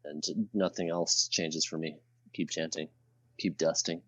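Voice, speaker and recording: A man's voice: extremely low pitch, level tone, unhurried, a bit bright. A man talking casually as a player in a tabletop game, on a dull lo-fi microphone. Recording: roomy, dull lo-fi microphone sound.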